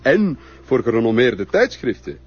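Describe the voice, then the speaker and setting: An actor playing a sly, flattering Flemish photographer who coaxes in a TV crime drama. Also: male voice, medium pitch, normal speed, close to an everyday voice. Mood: persuasive, sly. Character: smooth-talking, flattering male photographer, sly and coaxing. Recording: dull TV soundtrack, music behind.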